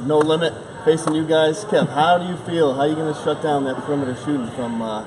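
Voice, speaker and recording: A man's voice: a little high, steady tone, quick pace, distant mic. A young man with a medium voice gives casual sports commentary. He sounds a bit away from the mic in a noisy gym. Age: young adult. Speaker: sports show panelist. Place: large indoor basketball gym.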